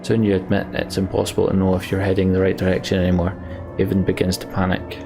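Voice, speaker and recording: Deep gravelly man narrating a game. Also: monotone, fast. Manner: theatrical, acts out the characters' lines. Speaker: let's play streamer. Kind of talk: dramatic reading of game story text, with asides. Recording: game music behind the voice. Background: game music.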